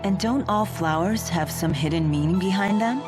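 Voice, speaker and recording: A woman voicing a composed, frank young woman in an anime game, speaking in a formal, measured way. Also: on the low side, lightly acted. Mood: matter-of-fact. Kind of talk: anime game dub acting. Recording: music behind.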